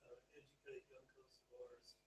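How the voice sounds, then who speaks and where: A man giving closing remarks to an audience, animated and emphatic. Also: normal speed. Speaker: university representative.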